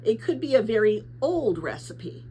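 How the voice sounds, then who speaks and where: A woman's voice: a little high, very animated, moderate pace, dark tone, slight background noise. A woman voicing a kindly but patronizing female messenger captain in a storybook, soothing and fervent in her belief. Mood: puzzled, dismissive.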